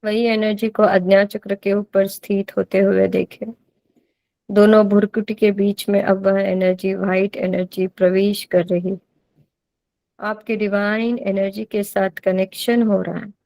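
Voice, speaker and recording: A woman with an Indian accent leads a guided meditation as a teacher, giving deliberate instructions and repeating phrases for emphasis. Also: medium pitch, level tone, vocal fry, warm tone, no echo, rough recording.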